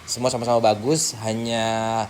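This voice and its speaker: A man's voice: mid-range voice, flat delivery, normal speed. A man giving a casual hands-on tutorial, informally talking through each step as he works. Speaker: tutorial host. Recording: clearly noisy background.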